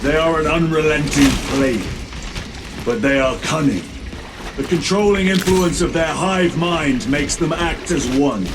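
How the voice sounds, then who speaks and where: An actor playing a stern veteran space marine lieutenant in a video game, speaking in a formal, clipped military manner. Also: male voice, a little high, expressive, unhurried. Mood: stern, measured. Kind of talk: dramatic video game acting. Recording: loud music and battle noise behind.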